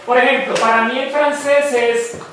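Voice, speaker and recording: A man's voice: very high voice, fairly even intonation, moderate pace, noisy background. A man with a Colombian paisa accent teaching a French class, patiently asking students for their thoughts and encouraging them. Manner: patient, encouraging, asks questions. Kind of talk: classroom discussion. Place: school classroom. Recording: echoey classroom, distant mic.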